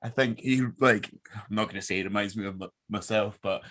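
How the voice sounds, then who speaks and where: A young man with a Scottish accent chatting casually as an interview guest, informal and halting, with a joking manner. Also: lowish voice, fairly bright tone, no room sound. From Scotland. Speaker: voice actor, podcast guest. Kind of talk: casual chat.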